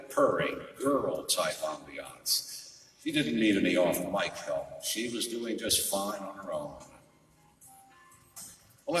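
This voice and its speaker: A man playing a world-weary, wisecracking private detective in a noir radio drama, speaking in a wry, deliberate way. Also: deep voice, a bit bright. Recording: echoey hall, distant mic.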